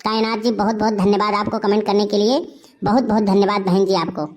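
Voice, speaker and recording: A woman's voice: mid-range voice, fairly even intonation, a little fast, darkish tone. A woman speaks as the host of a channel. She reads viewer comments aloud and follows them with polite thanks.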